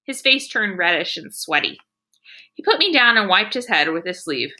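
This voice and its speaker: Woman as a bright, gleeful young schoolgirl storyteller. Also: mid-range voice, sing-song, normal speed, heavily acted. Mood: excited. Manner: childlike phrasing, emphatic enthusiasm. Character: spirited young schoolgirl narrator, quirky and gleeful.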